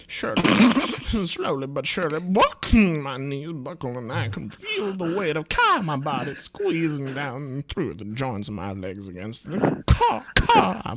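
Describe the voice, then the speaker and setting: A reader voicing a shot, dying bird who narrates in first person and keeps bursting into high squawks and clucks. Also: gravelly, sharp tone, exaggerated voice. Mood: desperate. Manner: breaks into squawks and clucks mid-sentence. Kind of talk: reading a game's narration aloud.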